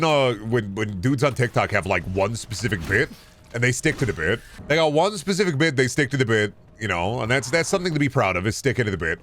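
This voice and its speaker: A man chatting casually as a video game streamer, rambling in a halting way and often repeating phrases. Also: mid-range voice, fairly bright tone, no echo. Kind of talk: casual rambling livestream chat.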